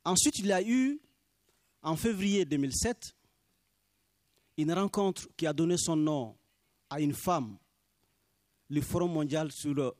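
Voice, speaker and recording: A man's voice: a little high, a little mellow, no room sound, clean studio sound. Man giving an earnest formal speech. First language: Minyanka. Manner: earnest, deliberate, emphatic on key phrases. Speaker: speaker for small farmers' movement.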